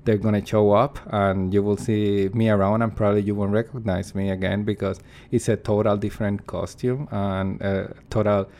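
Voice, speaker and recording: Earnest, reflective man, lowish voice. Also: flat delivery, normal speed, a little mellow. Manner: earnest, reflective, searching for words. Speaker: performance artist. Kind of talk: interview answers.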